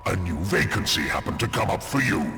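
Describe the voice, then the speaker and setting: A man voicing a haughty, menacing demon general in a video game, with a thunderous, gravelly voice and a pompous, threatening delivery. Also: deep voice, sing-song, brisk, exaggerated voice. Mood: sly, commanding. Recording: long cavernous reverb, music underneath.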